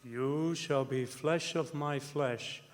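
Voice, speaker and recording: A man playing a menacing, gloating vampire count in a radio horror drama, drawing out each word with deliberate weight. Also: a little high, steady tone. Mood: ominous incantation. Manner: slow, drawn-out, deliberate delivery. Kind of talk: old-time radio horror acting. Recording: live theater stage, long hall reverb.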